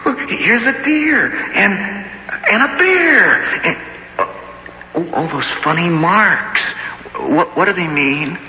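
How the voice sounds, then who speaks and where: An actor playing an eager, trusting, simple-minded boy who loves nature, with slow, plain phrasing in a 1970s radio drama. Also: sits high, very animated, sharp tone, slight background noise, heavily acted, rough recording. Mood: amazed, excited. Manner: slow, simple phrasing with wide-eyed exclamations.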